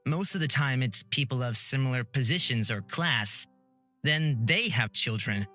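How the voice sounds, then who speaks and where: A bright, lively young man, as a woman puts him on, naive and curious about the world. He talks with puzzled sincerity, like a sheltered villager in a visual novel, and his pitch moves around a lot. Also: normal speed, faint music behind. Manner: puzzled, earnest questioning. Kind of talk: visual novel character read aloud.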